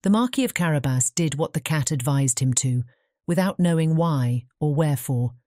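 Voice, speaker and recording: Storybook narrator: British woman, deep mellow voice, dry close recording. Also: expressive, normal speed, no echo. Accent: British English. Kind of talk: scripted fairy-tale narration.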